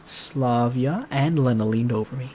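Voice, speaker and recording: A man's voice: mid-range voice, a little slow, a little mellow, slight background noise. A man narrating a visual novel aloud as a let's player, casual and slangy, with joking asides. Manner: jokey, slangy. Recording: music behind the voice.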